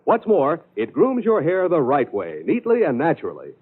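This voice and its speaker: A man's voice: a little high, wildly swooping pitch, normal speed, breathy edge. An American man announcing and selling on 1940s radio in an emphatic, polished salesman's style. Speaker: radio announcer and sponsor pitchman. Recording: old narrow radio broadcast with music.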